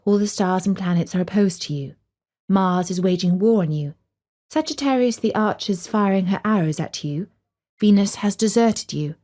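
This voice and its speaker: A woman's voice: medium pitch, moderate pace, dark tone, close to an everyday voice. A woman voicing a blunt, alarmist newspaper horoscope in a children's story, in portentous declarations. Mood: ominous.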